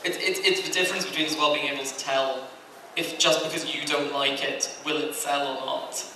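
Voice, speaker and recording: Guy chatting on a panel, slightly high voice, really echoey room, bit of background noise. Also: steady tone, fairly quick, bright, forceful tone, distant mic. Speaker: anime licensing executive on a panel. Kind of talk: convention panel talk. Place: large indoor convention panel room.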